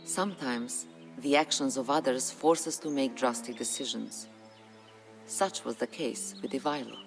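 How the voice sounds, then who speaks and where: An actor narrating a historical game campaign as a solemn storyteller, with grave, measured, dramatic delivery. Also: medium pitch, gravelly, natural voice. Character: solemn storyteller chronicling a medieval Bulgarian uprising. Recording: music under the voice. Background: background music.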